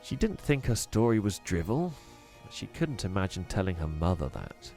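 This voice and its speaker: A man reads a children's story aloud, low-pitched but very lively in his melody. He narrates expressively and with animation. Faint music plays behind him. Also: normal speed. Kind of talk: reading a children's book aloud.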